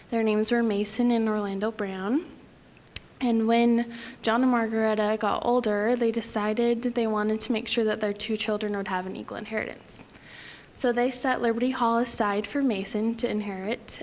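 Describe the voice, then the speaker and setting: A young woman from Utah giving a prepared lecture at a podium, talking quietly with frequent ums. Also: medium pitch, slight background noise. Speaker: guest lecturer.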